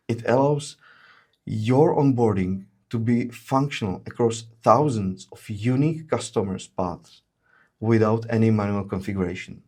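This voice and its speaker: Guy walking through a product demo, lots of uh's, steady explainer tone. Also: fairly low pitch, creaky, husky. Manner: frequent "uh" fillers, measured explanatory delivery. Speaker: webinar presenter, solution engineer. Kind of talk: explaining product features in a webinar.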